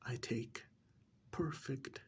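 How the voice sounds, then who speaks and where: A man playing a coldly patronizing serial killer in a soft-spoken ASMR role-play, breathy and half-whispered, with condescending, deliberate delivery. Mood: quiet menace. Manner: slow, deliberate, condescending, drawn-out pauses. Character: menacing male serial killer, coldly patronizing to his captive.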